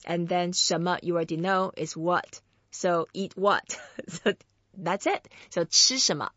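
Woman teaching chinese on a podcast, slow and patient, repeats stuff, dry kinda muffled sound. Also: on the low side, fairly even intonation, fairly bright tone, dry recording. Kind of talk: friendly language lesson.

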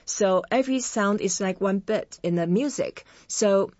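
A woman with a Chinese accent, a native Chinese speaker, teaching vocabulary in a language lesson and saying each syllable slowly and clearly. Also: mid-range voice, a little mellow, dry recording, lo-fi sound. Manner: slow, clearly separated syllables when modelling words. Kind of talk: language lesson with repeat-after-me drills.

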